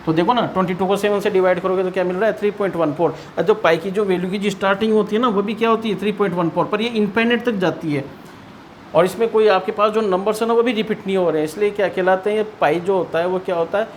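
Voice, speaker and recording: A man's voice: high-pitched, fast, slight background noise. An Indian man teaching maths in an explanatory lesson style, asking and answering his own questions and repeating key points.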